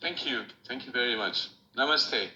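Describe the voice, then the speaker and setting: A middle-aged man with a Nepali accent, giving a measured, formal acceptance speech from a remote room. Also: mid-range voice, bright, forceful tone. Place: room at home, recorded remotely. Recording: roomy, dull lo-fi with faint hiss.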